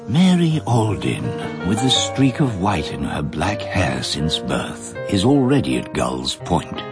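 Brief: gravelly narrator, expressive and ominous, music behind. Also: male voice, low-pitched. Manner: slow, ominous, dramatic. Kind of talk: scripted radio drama narration. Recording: music underneath.

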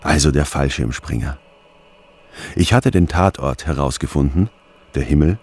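A man's voice: a bass voice, normal speed, a little mellow, breathy edge. An actor playing a brooding, analytical man narrating his obsessive research in a radio drama. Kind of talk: scripted first-person radio drama narration.

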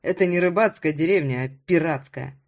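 A woman's voice: low voice, fairly quick, pitched below the performer's own voice. A woman voicing a proud, demanding, impatient man in an audiobook story. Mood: sardonic. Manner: demanding, sardonic.

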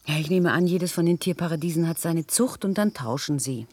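A woman's voice: deep voice, brisk, darkish tone, a little hiss or hum, lightly acted. A woman playing a curious park visitor in a naturalistic radio play, inquisitive and conversational.

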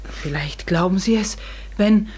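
An actress playing a middle-aged farmer's wife in a German radio drama, anxious and secretive, with a husky, hushed and halting delivery. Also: female voice, mid-range voice. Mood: uneasy, foreboding.

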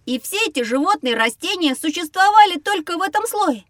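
A woman's voice: extremely high pitch, animated, brisk, a bit bright, dry recording, studio quality. A woman voicing an inquisitive, cheeky young schoolboy in a children's educational audio drama, eager and plain-spoken. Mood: curious, satisfied.

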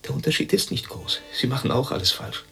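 An actor playing a restless, guilt-ridden man who broods aloud in short, halting sentences in a radio drama. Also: medium pitch, gravelly, pushed and bright, faint music behind. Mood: guilty, pleading. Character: restless, guilt-ridden East German ex-prisoner confiding in a silent companion.

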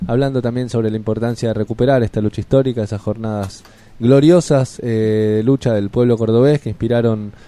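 A man's voice: mid-range voice, fairly even intonation, fairly quick, warm tone, no room sound. A man co-hosting a community radio show, chatting casually and searching for words with frequent fillers and restarts. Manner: hesitant, many fillers and restarts while explaining. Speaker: radio co-host.

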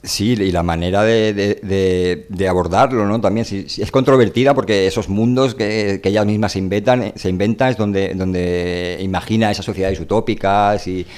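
Deep-voiced Spanish man chatting casually. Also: fairly even intonation, normal speed, hardly any pauses, a little mellow. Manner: hesitant, repeating words as he searches for phrasing. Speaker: radio show host. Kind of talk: casual, rambling literary discussion.

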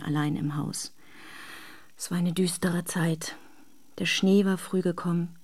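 An actress playing a wistful, lovelorn wife in a radio drama, confiding intimately in a breathy voice that often nears a whisper. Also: female voice, fairly low pitch, a little mellow. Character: wistful, devoted wife secretly longing for absent lover. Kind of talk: literary radio drama monologue.

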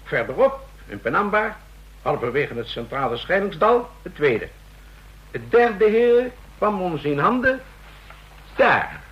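A man's voice: high-pitched, sing-song, slow, breathy edge, dull audio, exaggerated voice. An actor playing an insistent male counterfeiting expert explaining his case to officers in a radio drama.

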